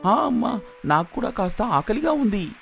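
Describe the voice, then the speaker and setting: An adult performer voicing an eager, excitable young boy in a children's cartoon, exclaiming his lines. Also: medium pitch, normal speed, creaky, faint music behind.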